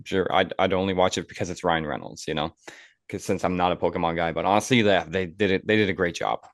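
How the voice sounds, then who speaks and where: A young man with a low-pitched voice hosts a casual podcast. He rambles conversationally and circles back over his phrases. The sound is dry and close. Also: fairly even intonation, quick pace, no room sound. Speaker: podcast host.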